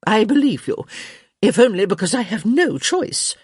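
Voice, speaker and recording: A woman voicing an elderly countess, sharp, theatrical and blunt, in a story reading. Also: mid-range voice, very animated, a little slow, breathy edge, no echo, studio quality, pitched above the performer's own voice, exaggerated voice. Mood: sceptical.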